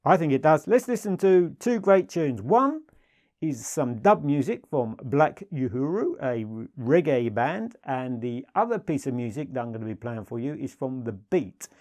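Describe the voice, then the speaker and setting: A man's voice: medium pitch, very animated, normal speed, darkish tone. Enthusiastic British man presenting products. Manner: enthusiastic, emphatic, repeats superlatives. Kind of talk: informal product presentation to camera.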